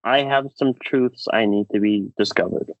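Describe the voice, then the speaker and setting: A man's voice: fairly low pitch, normal speed, no echo, dull audio. A man co-hosting a podcast in casual, enthusiastic banter, repeating words for emphasis. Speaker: podcast co-host.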